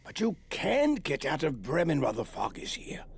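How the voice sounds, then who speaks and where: An actor playing a remorseful, mysterious helper in a game, with a husky, breathy, creaky voice and halting, urgent delivery. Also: male voice, a little high, huge pitch swings, a little hiss or hum, heavily acted. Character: remorseful mysterious man offering help.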